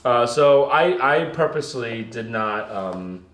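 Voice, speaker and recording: A man's voice: medium pitch, lively intonation, slow pace, a bit bright. A middle-aged man co-hosting a podcast, joking and rambling with plenty of laughs. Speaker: podcast co-host. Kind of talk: casual podcast chat. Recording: echoey room, faint noise.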